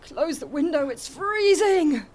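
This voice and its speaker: A woman's voice: high-pitched, lively intonation, takes it slowly, a little mellow. A woman playing a practical, affectionate companion in an audio drama, with a dry, teasing manner. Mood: exasperated.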